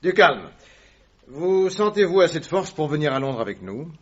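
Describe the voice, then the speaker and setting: An actor playing a calm, ironic detective in an old radio drama, giving wry, commanding orders. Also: male voice, fairly high pitch, moderate pace. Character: calm, ironic male detective, middle-aged. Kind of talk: vintage radio drama acting. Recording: dull lo-fi old radio recording.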